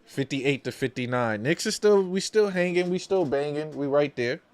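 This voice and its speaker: A man with a somewhat high-pitched voice hosts a live basketball watch-along. He calls the plays like a devoted fan, in slangy language. He often repeats short phrases to drive a point home. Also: expressive, moderate pace. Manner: slangy, partisan fan talk.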